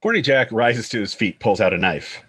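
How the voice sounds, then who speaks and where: Low-voiced man reading screenplay directions. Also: expressive, moderate pace, fairly bright tone, slightly rough. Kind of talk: scripted screenplay narration.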